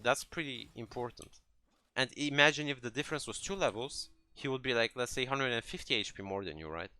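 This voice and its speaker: A man with a bright, crisp-edged voice works as a gaming coach, talking a learner through a replay. He explains conversationally, restarts his phrases and keeps checking in, and the sound is close and dry. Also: mid-range voice, fairly quick, no echo. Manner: hesitant phrasing with many restarts, checking understanding with "right?".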